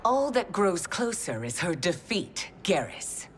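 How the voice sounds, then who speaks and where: A woman's voice: on the low side, very animated, slow, loud music behind the voice. A woman playing a ruthless, proud, scheming empress in a dramatic video game cutscene, speaking in an imperious, formal manner. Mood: scornful.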